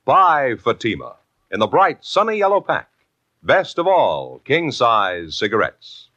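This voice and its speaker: A man's voice: medium pitch, wildly swooping pitch, a little slow, dull audio, heavy background noise. A man with an American accent, announcing in a dramatic, emphatic 1950s radio style. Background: music.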